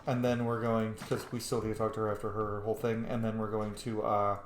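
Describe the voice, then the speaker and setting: A man's voice: lowish voice, level tone, brisk, slight background noise. Casual male gamer in an echoey room. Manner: thinking aloud. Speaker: gamer narrating a roleplay playthrough. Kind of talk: casual game commentary.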